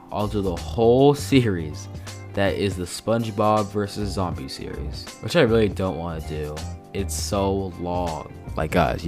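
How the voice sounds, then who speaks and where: A man with a lisp and a stutter, talking slowly and calmly through a casual, swear-filled commentary recorded at home. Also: sits low. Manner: deliberately slow and calm delivery. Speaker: YouTube commentator.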